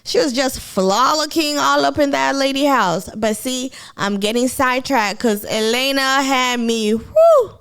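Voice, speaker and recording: A woman's voice: high-pitched, animated, unhurried, hardly any pauses, a bit bright, clean tone. A woman from Kenya with a Kenyan accent, chatting casually to viewers on a live stream about a TV show. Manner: chatty, addresses viewers as y'all.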